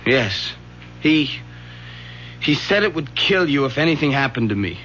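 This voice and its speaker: A man's voice: medium pitch, very animated, unhurried, fairly bright tone. A man playing a frightened, defensive young son in a radio drama, agitated and argumentative with pleading resentment. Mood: exasperated. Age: young adult. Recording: muffled old radio broadcast, faint hiss.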